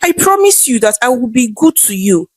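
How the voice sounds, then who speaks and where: An apologetic Nigerian husband from a folktale, read by a woman. The delivery is earnest and imploring, with an expressive lilt in a dry recording. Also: fairly high pitch, normal speed, slightly rough, dry recording. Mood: pleading. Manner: earnest, pleading. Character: apologetic, deceitful Nigerian husband, pleading gently.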